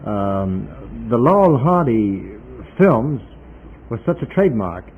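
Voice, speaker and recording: A man's voice: medium pitch, sing-song, slow. A man interviewing conversationally on the radio, asking wordy questions and interjecting attentively. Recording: noisy, tinny 1950s radio interview recording. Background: clear hiss and noise.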